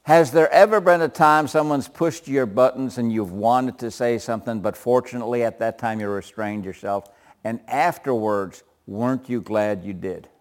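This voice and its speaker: A man leads a Bible study group in a loud, even-pitched voice. He chuckles often and keeps tossing questions back to the room. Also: moderate pace.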